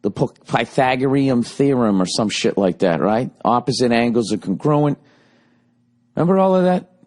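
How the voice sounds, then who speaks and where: A man in his forties with a Boston accent, ranting sarcastically and profanely in a rambling comic monologue, his voice going a little hoarse. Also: mid-range voice, animated, moderate pace, a little mellow. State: voice getting hoarse. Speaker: podcast host.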